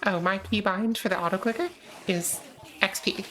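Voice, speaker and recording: An American woman chatting casually on a gaming livestream, answering chat with frequent pauses and ums. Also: medium pitch, fairly even intonation, background noise. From United States. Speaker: streamer.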